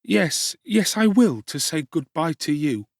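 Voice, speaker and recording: A man's voice: fairly high pitch, animated, measured pace, no room sound, clean studio sound, voice pushed up. A male actor voicing a timid but determined young orphan boy who speaks urgently and earnestly in an audiobook. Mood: reassuring.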